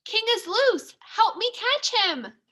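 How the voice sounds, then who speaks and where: Woman playing a high-pitched bossy young girl. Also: very animated, slow pace, sharp tone, heavily acted. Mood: alarmed, scolding. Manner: commanding, exclamatory. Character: bossy, determined young girl, dog's owner. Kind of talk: children's storybook read-aloud.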